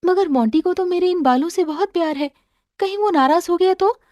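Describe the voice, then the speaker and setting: A woman's voice: very high voice, fairly quick, darkish tone, no room sound, voice pushed up. A woman voicing a devoted, emotional young wife in a cartoon moral story, speaking in an earnest, pleading, sentimental way. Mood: musing, hopeful.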